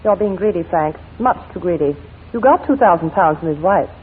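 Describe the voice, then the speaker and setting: A woman's voice: fairly low pitch, lively intonation, brisk, husky. A woman playing a wary, reproachful blackmailer's accomplice in 1940s radio drama. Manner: reproachful, guarded. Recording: tinny, narrow 1940s radio recording.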